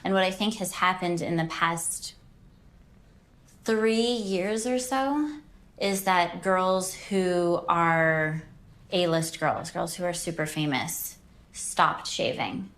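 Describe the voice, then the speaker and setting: A woman's voice: on the low side, slow, fairly bright tone. A woman being interviewed, explaining things in a conversational way. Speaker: adult film performer being interviewed.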